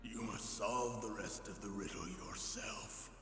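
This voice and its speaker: Man playing giant fatalistic game shaman, slow prophetic delivery, deep voice, loud music behind. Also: natural voice. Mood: grave, cryptic. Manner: slow, ominous, prophetic pronouncements. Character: huge dying shaman warrior, solemn and fatalistic. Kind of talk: dramatic video game voice acting. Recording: echoing reverberant game audio under loud music.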